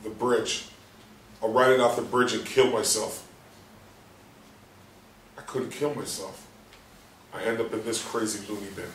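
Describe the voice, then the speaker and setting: A bitter, despairing male patient trapped in his own body, acted by a man at a play reading. His low voice broods and questions with coarse, sardonic words. It is caught from a distance in a roomy space. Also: steady tone, a little slow, pauses often, lightly acted. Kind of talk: staged play reading, inner monologue. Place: live stage reading in a theatre room. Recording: roomy stage, distant mic, faint noise.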